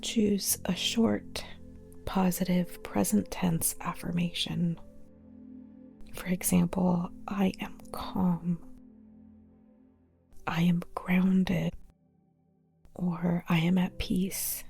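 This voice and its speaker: Slow, breathy near-whispering woman meditation guide. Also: fairly low pitch. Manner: calm, soothing, spaced short phrases. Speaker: yoga nidra meditation guide. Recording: modest older mic, music behind.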